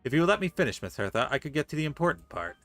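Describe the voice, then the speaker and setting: Guy streamer reading game story out loud, quick, bright and playful, very dry mic. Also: a little high, sing-song, no echo, faint music behind. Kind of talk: livestream game playthrough, reading dialogue aloud and commenting.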